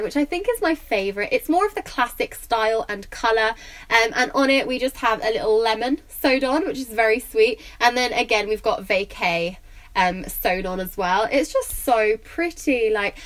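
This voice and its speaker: A woman's voice: a little high, a bit bright. A young woman in her twenties from the north of Portugal, chatting casually to camera about her purchases, bubbly with lots of likes and ums. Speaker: vlogger. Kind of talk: casual haul chat to camera. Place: small indoor room, bedroom set.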